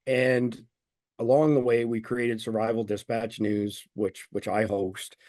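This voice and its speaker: A man's voice: level tone, unhurried, a little mellow, no room sound. Confident man explaining, dry sound. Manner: confident, explanatory, making a pitch. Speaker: guest, survival news founder. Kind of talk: interview answers.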